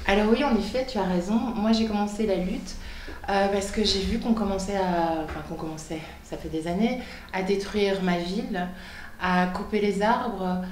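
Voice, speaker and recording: Femme candidate engagée, studio résonnant. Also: female voice, medium pitch, normal speed, darkish tone, roomy sound, slight background noise. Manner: earnest, committed, with frequent fillers. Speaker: political candidate. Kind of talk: spontaneous speech. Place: television studio in Brussels.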